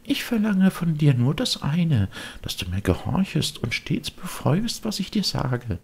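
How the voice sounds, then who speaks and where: A man voicing a gentle, motherly fairy, kind but admonishing, in a measured audiobook character voice. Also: on the high side, big pitch swings, creaky, slightly rough, pitched above the performer's own voice, full character voice. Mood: tender.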